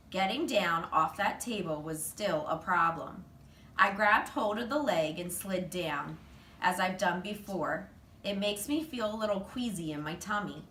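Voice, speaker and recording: A woman reads a children's book aloud with animated expression. Her voice is bright and forward, caught a little far from the mic in an echoey room with faint noise behind it. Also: fairly low pitch. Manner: animated storytelling. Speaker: reader, teacher.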